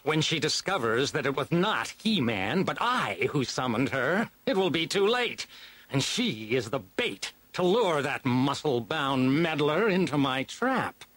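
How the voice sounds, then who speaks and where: A man's voice: a little high, big pitch swings, a little slow, fairly bright tone, no room sound, voice pushed up, heavily acted. A man voicing a scheming male evil overlord who sneers and gloats, in a storybook reading. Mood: gloating.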